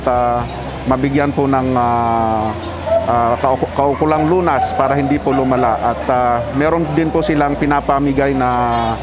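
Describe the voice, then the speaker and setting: A man, a health official giving an outdoor interview, polite and halting with many fillers. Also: medium pitch, noisy background. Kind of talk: on-site interview.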